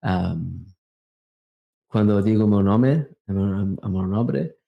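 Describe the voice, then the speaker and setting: A man in his thirties, a native Portuguese speaker with a non-native accent, chatting haltingly as an interview guest and searching for words. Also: on the low side, gravelly, highs cut off. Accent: non-native, Portuguese-influenced Spanish. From Brazil, raised in England from thirteen. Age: 30s. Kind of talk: casual interview chat.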